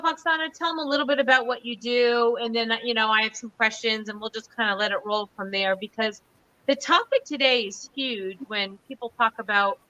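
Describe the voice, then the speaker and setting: Woman, host, bright forceful tone, bubbly chatter, lo-fi video call sound. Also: on the high side. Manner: bubbly, chatty, gushing introductions. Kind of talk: casual online show chat.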